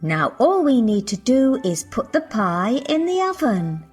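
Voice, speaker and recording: A woman playing a caring mother in a children's story, husky-voiced and gently cautioning. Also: on the high side, very animated, a little slow, faint music behind, heavily acted. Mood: loving caution.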